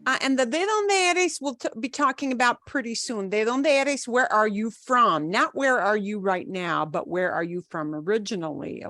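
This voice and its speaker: A woman's voice: fairly high pitch, expressive, a little slow, few pauses, sharp tone, no echo, faint music behind. A woman teaching a live online language class over a video call, explaining casually with fillers.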